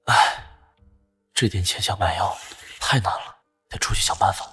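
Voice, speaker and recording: An actor playing a young beggar in a costume drama, husky, exasperated yet protective. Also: male voice, deep voice, normal speed, bright, forceful tone, no echo, faint music behind, exaggerated voice. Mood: worried. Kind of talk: dubbed animated costume drama.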